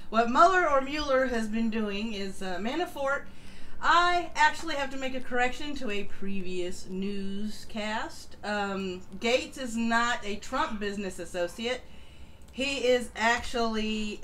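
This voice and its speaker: A woman hosting a live stream, giving an informal, halting news rundown. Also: mid-range voice, a bit bright, a little hiss or hum.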